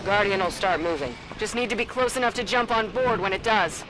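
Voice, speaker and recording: An actress playing a tough, loyal soldier of an elite squad in a sci-fi game. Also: female voice, medium pitch, a little fast, background noise, natural voice. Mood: alert.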